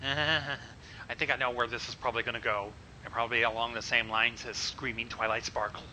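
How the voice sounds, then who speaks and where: A man's voice: mid-range voice, level tone, brisk, pushed and bright, slight background noise, rough recording. A man giving sarcastic, crude running commentary during a story reading. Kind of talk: casual joking commentary.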